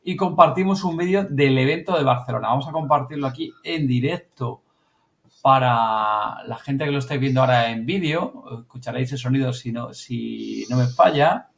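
A man's voice: mid-range voice, normal speed, vocal fry. A man with a Spanish accent from Spain hosting a podcast in a casual, chatty style. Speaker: podcast host. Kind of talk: casual chat.

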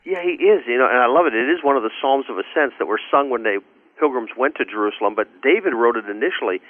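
A man's voice: mid-range voice, lively intonation, quick pace, dry recording. Muffled remote line, dry sound, male interview guest, scholar, conversational delivery.

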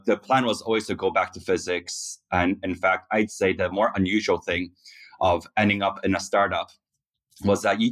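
A man in his thirties from Taiwan, a startup founder giving measured answers in an interview. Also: low voice, a bit bright.

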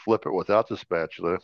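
Dude w a lowish voice giving casual tips, kinda muffled lo-fi audio. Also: male voice, moderate pace, creaky, no room sound. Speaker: podcast co-host. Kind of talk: casual chat, offering practical tips. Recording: slightly dull, lo-fi sound.

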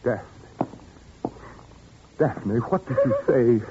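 A man's voice: medium pitch, lively intonation, very slow. An actor playing an overwrought, commanding widowed father with genteel menace, in 1940s radio suspense style. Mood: anguished outrage. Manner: theatrical, commanding. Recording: muffled, noisy 1940s radio recording.

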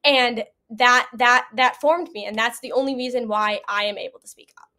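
A woman's voice: fairly high pitch, moderate pace, pushed and bright. A young adult woman speaking casually and earnestly as an interview guest.

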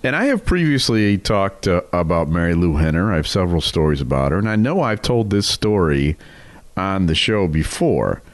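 Middle-aged male co-host, deep voice, lively intonation, casual storyteller. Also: moderate pace, no room sound. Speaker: podcast co-host.

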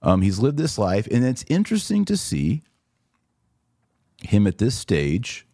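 Deep-voiced American man, casual podcast host. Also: wildly swooping pitch, a little slow, mellow, no echo, clean studio sound. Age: 40s. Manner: conversational, addresses listeners directly. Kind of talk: casual livestream commentary, answering viewer comments.

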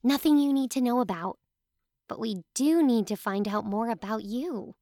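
A woman's voice: on the high side, moderate pace, dark tone, dry recording, studio quality, close to an everyday voice. A woman playing a bold, bossy, scheming young girl in a scripted family audio drama. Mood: determined. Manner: bossy, impatient.

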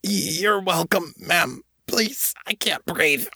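A man's voice: mid-range voice, fairly even intonation, moderate pace, sharp tone, slightly rough, no echo, clean studio sound, voice pushed up. A man voicing a tiny, timid rat morph in an audiobook, polite and anxious, with a small, uncertain voice. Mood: strained, squeezed. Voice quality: small, thin voice. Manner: uncertain, meek. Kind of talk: audiobook character acting.

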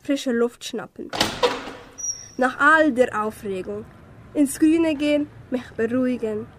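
A teenage girl playing a grumpy old witch who dotes on her cat in a school radio play, declaiming slowly. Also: high-pitched, noisy background. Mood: bossy.

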